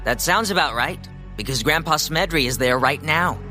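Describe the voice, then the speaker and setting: Casting: stubborn teen boy hero, bright edgy voice, very expressive, audio drama. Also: on the high side, moderate pace, faint music behind. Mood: insistent. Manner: emotive, pressing with questions. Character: earnest, irritable teenage boy hero, stubborn and curious.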